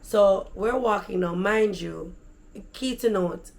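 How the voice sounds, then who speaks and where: A woman with a Jamaican accent and a deep, raspy voice, telling stories in a chatty, animated vlog. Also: takes it slowly. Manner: animated, chatty, slips into patois. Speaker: vlogger. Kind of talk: casual storytelling.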